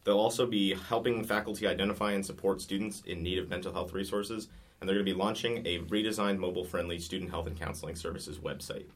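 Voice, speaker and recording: Young guy with a really deep voice reading campus news in a booth, steady and scripted. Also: fast talker. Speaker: host of student news show. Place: recording booth.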